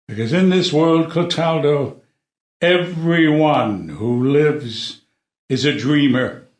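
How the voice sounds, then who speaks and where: Deliberate, philosophical old-world king played by a man, swooping pitch. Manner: deliberate, declamatory, formal. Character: calculating, philosophical king testing his imprisoned son. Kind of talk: unrehearsed dramatic play reading of classical comedy. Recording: echoey room.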